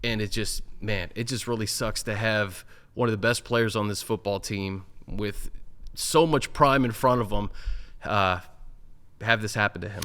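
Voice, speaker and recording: A man's voice: fairly low pitch, normal speed, fairly bright tone, clean tone. A man hosting a team sports podcast, speaking conversationally and thinking aloud with many fillers. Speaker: podcast host. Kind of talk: casual sports talk.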